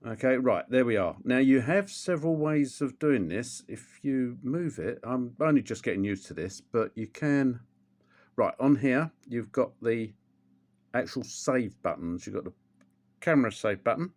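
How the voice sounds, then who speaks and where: A man's voice: mid-range voice, expressive, normal speed, a little mellow. An older man, chatting casually through a how-to demonstration at home, rambling with plenty of ums and restarts. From England. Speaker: motorcycle vlogger.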